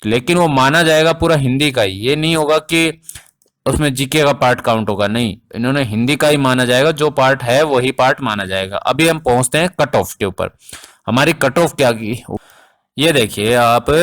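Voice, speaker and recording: A man's voice: mid-range voice, a bit bright. A man explaining exam updates informally as a coaching teacher, addressing students directly and repeating points for emphasis.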